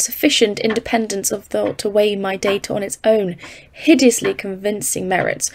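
Audio drama: actress as earnest female geologist, expedition leader, formal and measured, some vocal fry. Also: medium pitch, darkish tone, a little hiss or hum, close to an everyday voice. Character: Evelyn, earnest woman geologist leading polar expedition. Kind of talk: audio drama narration and dialogue.

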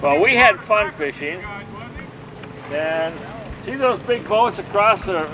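Chatty older man, fairly high voice. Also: animated, takes it slowly, lo-fi sound, noisy background. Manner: chatty, teasing, joking, gives tips. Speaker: host filming the interview. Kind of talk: casual chat. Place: outdoor marina dock by the water.

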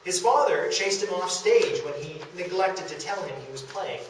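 A man's voice: high voice, normal speed. A man, seemingly in middle age, giving a storytelling history talk to slides, acting out bits of conversation. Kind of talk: public lecture with slides, storytelling. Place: small indoor lecture room at a historic house. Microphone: camera a few metres away. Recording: distant camera mic, very echoey room, faint noise.

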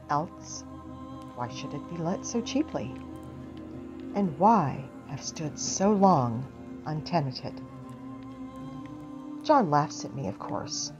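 Female audiobook narrator, low register, dramatic literary first-person read, music underneath. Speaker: audiobook reader. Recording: dull lo-fi, music behind.

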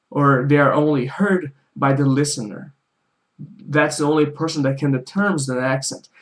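A man from Brazil with a Brazilian Portuguese accent, speaking English as a second language and explaining his views on language learning in a casual talk to camera, with frequent restarts. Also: fairly high pitch. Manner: explaining, with many restarts and drawn-out words.

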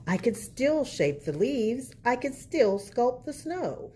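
A woman's voice: on the high side, very animated, slow, mellow. A woman reading a picture book aloud as a storyteller, expressively and for young children. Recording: faint background noise.

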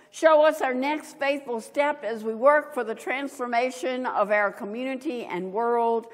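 An older woman, a pastor, reading prayers and liturgy in a solemn, measured manner, phrase by phrase, at a church lectern. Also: on the high side. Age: older, 70s. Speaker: pastor leading worship. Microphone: gooseneck lectern microphone and clip-on. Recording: reverberant church hall.

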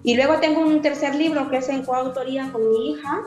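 A woman's voice: high-pitched, fairly even intonation, brisk, darkish tone. A woman in her thirties from Honduras, an author speaking as a guest over a video call, thoughtful and hesitant, with many fillers and restarts. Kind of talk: remote interview. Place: indoor room at home. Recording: roomy video call with faint music.

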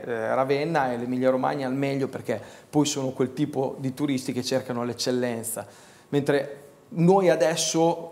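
A man's voice: mid-range voice, darkish tone. A man in his thirties explaining things as a local official on a studio panel. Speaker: local government tourism official. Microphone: lapel mic.

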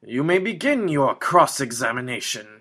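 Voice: medium pitch, very animated, normal speed, fairly bright tone, breathy edge, full character voice. An actor playing a stern judge in an anime-style fan dub of a courtroom game. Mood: stern, questioning. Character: stern courtroom judge presiding over a murder trial.

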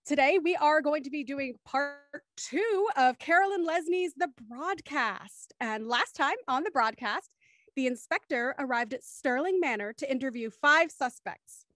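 A young woman with a very high voice hosts a livestream in an upbeat style. She is close on a studio mic, and the sound is dry. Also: expressive, a little slow, a bit bright, dry recording. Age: young adult. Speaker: show host. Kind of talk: upbeat livestream hosting, introducing guests. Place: small room at home, video call. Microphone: studio microphone on a stand, headphones.

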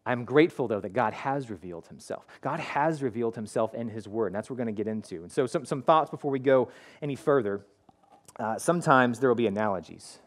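A man in his thirties preaching a sermon in a school hall, talking in a conversational, animated way with many restarts, on a headset mic. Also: medium pitch, fast. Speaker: pastor. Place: school hall with curtained stage.

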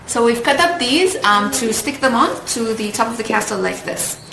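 A woman's voice: fairly high pitch, lively intonation, moderate pace. A woman in her thirties, encouraging as she gives casual step-by-step craft instructions at a table at home. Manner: encouraging, praising. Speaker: craft tutorial host and mother. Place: indoors at a home dining table. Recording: roomy echo, clear background noise and clicks.

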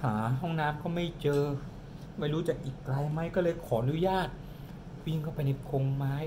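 A man's voice: a little high, noisy background. Man spinning a spooky tale at home. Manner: animated, dramatic storyteller who slows down for suspense. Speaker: father telling a bedtime-style ghost story to his daughter. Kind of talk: improvised storytelling. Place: home living room, indoors. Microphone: camera on the table in front, close.

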